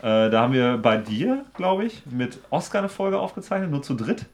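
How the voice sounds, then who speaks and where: Young man, podcast co-host, informal slangy talk, halting phrasing, mid-pitched voice. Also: big pitch swings. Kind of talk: casual chat among friends.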